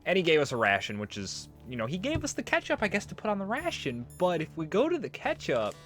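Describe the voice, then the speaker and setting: A man's voice: high voice, wildly swooping pitch, fast talker, a bit bright, faint music behind. A man giving casual, jokey let's play commentary on a video game, prone to goofing around. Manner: jokey, breaks into silly singing and goofing.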